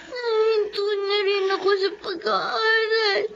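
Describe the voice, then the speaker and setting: A woman's voice: very high voice, flat delivery, slow pace, vocal fry, noisy background, heavily acted. A woman playing a sick, sorrowful young girl in a Filipino radio drama, polite and plaintive, with a Filipino accent. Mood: tearful. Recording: dull lo-fi audio under loud music.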